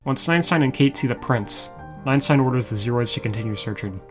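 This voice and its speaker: A man's voice: mid-range voice, fairly quick. A man narrating an episode recap as a reviewer, with wry, sarcastic asides. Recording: music playing behind the voice. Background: background music.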